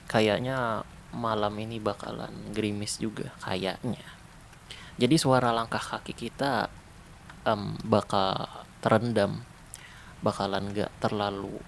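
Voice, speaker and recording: A man voicing a protective ex-special-forces survivor in a zombie apocalypse, talking softly and calmly in an ASMR roleplay. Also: lowish voice, gravelly, slight background noise. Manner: soft, calm, unhurried, intimate. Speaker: roleplay voice actor.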